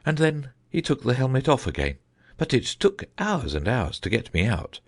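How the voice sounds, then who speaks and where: A man voicing a solemn, dreamy old knight who rambles about his inventions, in a husky voice. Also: moderate pace. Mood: musing. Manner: rambling, thoughtful, lively pitch swings.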